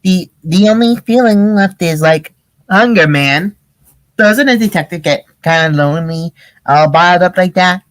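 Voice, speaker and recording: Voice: medium pitch, moderate pace, dry recording, lightly acted. A reader voicing a goofy, easily frightened young male slacker who stammers and says "like" a lot. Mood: earnest, probing. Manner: stammering, peppers speech with "like".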